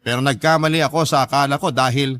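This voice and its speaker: A man's voice: medium pitch, fairly even intonation, fast talker, a bit bright, dry recording, clean studio sound. A man reading a listener's letter as a radio storyteller, in an earnest, dramatic first-person style. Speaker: radio host and story narrator.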